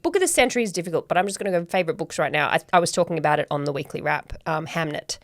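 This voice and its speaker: A woman's voice: low-pitched, animated, rapid-fire, dry recording, clean studio sound. A woman with an Australian accent chatting casually as a quiz contestant. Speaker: quiz contestant and podcast host. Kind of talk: casual chat.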